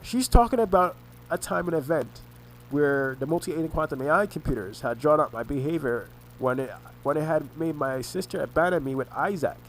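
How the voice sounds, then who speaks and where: A man recounting family arguments in a rambling monologue, halting and often restarting his words, his voice congested and his throat sore. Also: a little high, slight background noise, poor audio. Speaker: vlogger recounting family conflicts. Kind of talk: rambling personal monologue over a screen recording.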